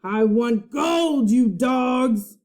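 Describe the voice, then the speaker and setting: Woman acting a loud, roaring pirate captain. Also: on the high side, animated, voice pushed up. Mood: gruff, demanding. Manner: loud, bullying, drawn-out exclamations. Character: gruff, greedy, roaring male pirate captain.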